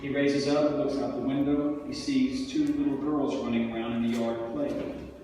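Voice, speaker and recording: A man's voice: mid-range voice, flat delivery, normal speed, warm tone. Male script narrator in a very echoey hall. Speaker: narrator reading stage directions. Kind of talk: scripted narration at a table reading. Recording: echoey hall from far off, music behind.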